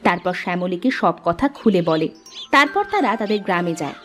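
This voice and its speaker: A woman's voice: lowish voice, lively intonation, moderate pace, fairly bright tone, soft background music. A woman reading scripted storybook narration for a cartoon folk tale.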